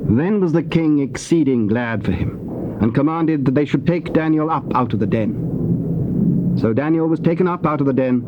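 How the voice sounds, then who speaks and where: A British actor narrating biblical events as a grave storyteller, formal and measured. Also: male voice, a little high, natural voice. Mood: solemn. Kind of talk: scripted scripture narration. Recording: dull, noisy old cassette tape.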